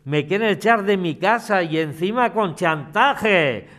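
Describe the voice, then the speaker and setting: A man's voice: high-pitched, expressive, measured pace, fairly bright tone. A man with an accent from Spain playing a proud, stubborn old pensioner in an audio drama, blustering and exclaiming in formal, old-fashioned address. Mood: outraged.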